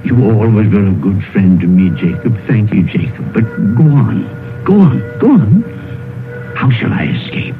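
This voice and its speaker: An actor playing a crotchety, stubborn, easily frightened old miser in a vintage radio drama, with a halting, blustering delivery. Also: male voice, lowish voice, lively intonation. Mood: afraid. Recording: muffled old radio broadcast, music behind.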